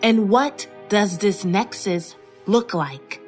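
Actress as an uneasy, very slow-speaking questioning woman. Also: mid-range voice, a little mellow, natural voice. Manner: hesitant, questioning. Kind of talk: old-time radio horror acting. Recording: music under the voice. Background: music.

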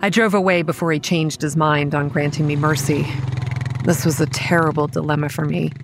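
A woman playing a pragmatic young campground manager, narrating a horror audio drama in a measured, matter-of-fact and wry way. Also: deep voice, fairly even intonation, over music. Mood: tense narration. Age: young adult. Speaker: narrator and lead character. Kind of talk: first-person scripted horror narration and dialogue.

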